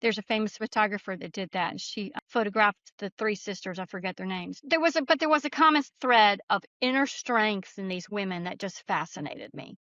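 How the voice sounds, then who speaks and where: A woman's voice: mid-range voice, fairly quick, few pauses, fairly bright tone, no room sound. A woman speaking as an author and podcast guest, telling stories in a casual, conversational way on a slightly lo-fi recording. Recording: slightly dull, lo-fi sound.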